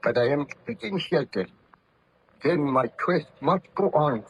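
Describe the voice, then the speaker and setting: An actor playing a weak, driven baron in an old radio drama, speaking in formal, archaic phrases with anguish. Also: male voice, a little high, lively intonation, measured pace, breathy edge, full character voice. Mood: weary, anguished. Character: weak, driven baron rescued from the Arctic ice. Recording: dull old radio recording.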